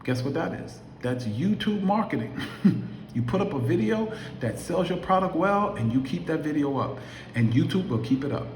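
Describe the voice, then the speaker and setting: A man's voice: a little high, lively intonation, normal speed, darkish tone, slight background noise. Emphatic man in his fifties, echoey room. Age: 50s. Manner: emphatic, preachy, rhetorical questions. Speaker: affiliate marketer vlogger. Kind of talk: motivational talk to camera.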